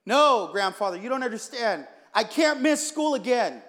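A man acts out an upset young grandson in a spoken story. The voice rides high, pleading and exclaiming, with long reverb trailing after it. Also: normal speed, a bit bright. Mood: urgent protesting. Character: frantic, frustrated orphaned schoolboy grandson venting to grandfather.

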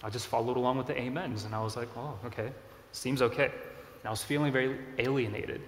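A man in his thirties, originally from Korea, preaching a sermon in a relaxed, conversational way with self-deprecating asides. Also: medium pitch, brisk, a little hiss or hum. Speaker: pastor. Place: church sanctuary. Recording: echoey church hall.

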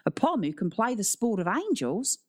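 A young Australian games teacher, played by a woman narrator in a children's audiobook. He is breezy and slangy, friendly even as he takes command of a group of pupils. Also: mid-range voice, normal speed, voice pushed up. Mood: breezy, reassuring. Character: young Australian male sports teacher, stocky, breezy and matey.

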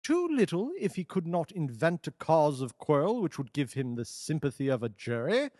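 A man reading an audiobook, voicing a calm, brilliant detective who talks in a measured, lofty, amused way. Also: on the high side, no echo, clean studio sound. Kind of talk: audiobook character voice.

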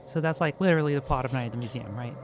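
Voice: mid-range voice, very fast, a little hiss or hum. A man playing in a tabletop game, chatting casually with the group. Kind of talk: casual chat.

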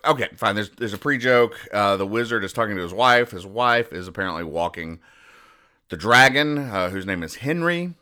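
A man hosting a comedy podcast, reading out comic strips and explaining them in a deadpan, over-literal way. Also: medium pitch, clear, resonant tone. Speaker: podcast host.

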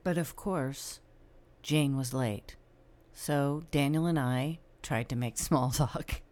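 A woman's voice: a bass voice, slow, a little mellow, slight background noise. A woman hosting a podcast, narrating and interviewing in a wry, self-deprecating storytelling manner. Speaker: podcast host.

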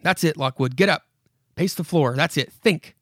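A man's voice: fairly high pitch, normal speed, fairly bright tone, no room sound, clean studio sound, pitched above the performer's own voice. Man voicing an urgent, insistent haunted old house. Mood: urgent, coaxing. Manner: dramatic, commanding second-person address with repeated imperatives. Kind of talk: horror comic read aloud.

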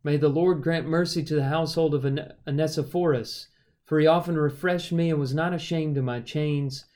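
A man teaching the Bible in a conversational, measured way, moving between explanation and reading verses aloud. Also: fairly high pitch, fairly even intonation, darkish tone. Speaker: Bible study podcast host and teacher.

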